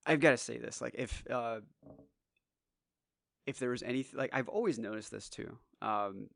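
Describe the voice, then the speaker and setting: A man in his forties with a slightly hoarse voice, chatting loosely on a podcast, rambling and swearing freely. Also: medium pitch, normal speed, a bit bright. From United States. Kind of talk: casual podcast chat.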